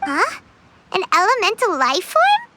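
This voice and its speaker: A woman voicing a small, cute, chirpy fairy companion in a video game, perky and expressive. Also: very high-pitched, unhurried, very noisy, heavily acted. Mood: puzzled, curious. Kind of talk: English video game voice acting. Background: game music.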